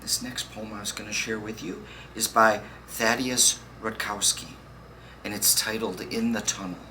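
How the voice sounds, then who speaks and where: A man reading poems aloud with deliberate, dramatic phrasing and pauses. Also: fairly low pitch, steady tone. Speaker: poetry reader. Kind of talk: spoken poetry reading. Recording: faint background noise.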